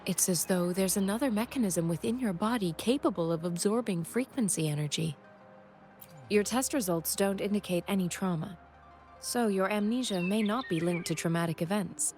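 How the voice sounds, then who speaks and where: A woman voicing a calm, analytical young scientist and doctor in a video game, speaking in a measured, clinical way. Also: fairly low pitch, close to an everyday voice. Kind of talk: English video game voice acting.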